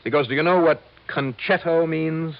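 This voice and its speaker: An actor playing a sharp, impatient investigator in an old radio detective drama, with a wry, clipped delivery. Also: male voice, a little high, normal speed, creaky. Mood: insistent, accusing. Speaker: lead detective and narrator. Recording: dull old radio recording, music behind.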